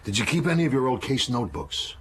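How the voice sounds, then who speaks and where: An actor playing a seasoned retired homicide detective in a radio drama, measured and matter-of-fact. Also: male voice, medium pitch, very animated, a bit bright. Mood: probing. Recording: heavy background noise with ambience.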